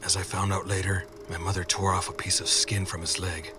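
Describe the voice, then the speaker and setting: A man's voice: deep voice, flat delivery, fairly quick, distant mic, music in the background. A man narrating a scripted first-person scary story as a horror storyteller.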